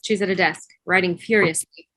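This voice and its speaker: A woman's voice: on the low side, fairly even intonation, moderate pace, pushed and bright, no echo, rough recording. A woman reading stage directions as scripted narration for a table read over a video call.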